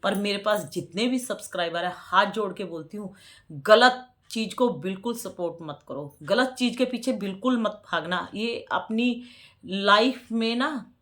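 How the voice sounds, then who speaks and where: A woman in her forties talks straight to her subscribers in a loose, chatty way. She leans into repeated words to drive her point home and slips into a preachy tone. Her voice sits at a medium pitch. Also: measured pace, fairly bright tone. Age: 40s. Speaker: vlogger. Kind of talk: casual commentary to subscribers.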